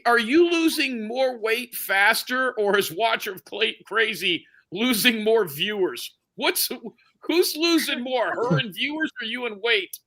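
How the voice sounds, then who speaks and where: A man in his forties, teasing and crude, chatting on a livestream with loud outbursts. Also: very high-pitched, expressive, measured pace. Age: 40s. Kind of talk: crude joking chat on a livestream.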